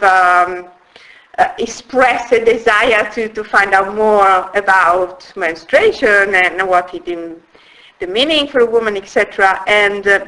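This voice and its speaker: A woman in her forties with an Italian accent, telling her life story in a halting way, with restarts and soft laughs. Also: mid-range voice, rough recording. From Italy. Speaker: interviewee. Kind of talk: personal life story in conversation.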